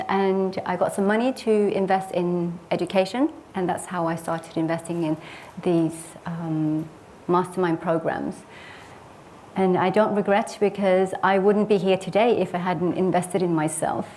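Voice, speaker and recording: Earnest, low-voiced woman telling her story. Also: steady tone, unhurried, darkish tone, slight background noise. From Sweden. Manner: earnest and reflective, with frequent hesitant fillers. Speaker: property investor giving a case study talk. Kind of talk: personal case study presentation.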